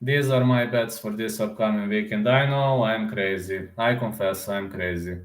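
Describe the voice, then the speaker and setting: A man's voice: on the low side, moderate pace. Rambling man on a live stream, roomy echo. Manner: rambling, answers viewer comments aloud; repeats words, often ends with "man". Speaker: combat sports YouTuber. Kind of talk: casual live stream chat.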